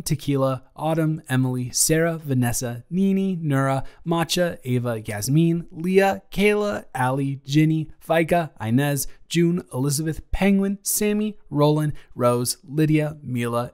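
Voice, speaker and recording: A man's voice: a little high, a little mellow. A man, an audio roleplay creator, reading out a list of thanks and channel notes.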